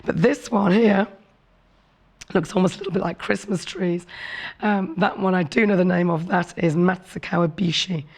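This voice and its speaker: A woman presenting a televised sewing demonstration with a hoarse, rough voice from a cold. She explains in a chatty, friendly way and apologizes for her voice. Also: fairly low pitch, steady tone, moderate pace, a little mellow. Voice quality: rough, raspy voice. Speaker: craft show presenter. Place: TV studio set.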